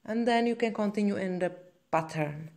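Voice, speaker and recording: A woman's voice: mid-range voice, moderate pace, a little mellow. A woman with a Czech accent, a native Czech speaker, giving step-by-step craft instructions in simple, slightly hesitant non-native phrasing. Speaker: crochet tutorial host. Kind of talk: spoken step-by-step craft tutorial.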